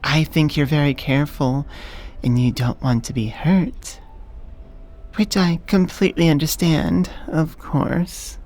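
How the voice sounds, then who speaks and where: Low, soft-spoken smitten elf woman voiced by an actress. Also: measured pace. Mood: tender, smitten. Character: smitten, businesslike elf woman, dominant HR manager confessing love. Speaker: voice actor. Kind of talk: soft-spoken binaural ASMR roleplay.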